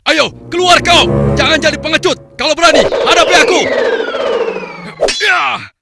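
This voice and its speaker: A man's voice: very high voice, moderate pace, vocal fry. A man voicing a cruel male soldier in a cartoon, who snarls taunts and threats with music behind. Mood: menacing. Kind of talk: cartoon voice acting.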